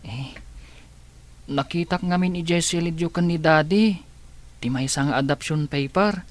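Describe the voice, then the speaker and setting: Young Filipino son, male actor, Ilocano radio drama: high voice, inquisitive, hesitant. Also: measured pace, close to an everyday voice. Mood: hesitant, troubled.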